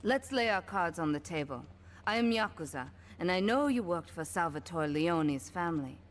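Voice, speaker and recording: A woman acts as a sly, commanding female Yakuza boss in a video game. Her voice is low, and she speaks in a measured, dry, imperious way. There is faint ambience behind her. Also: animated, a little hiss or hum, natural voice. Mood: cool, businesslike. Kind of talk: video game cutscene acting.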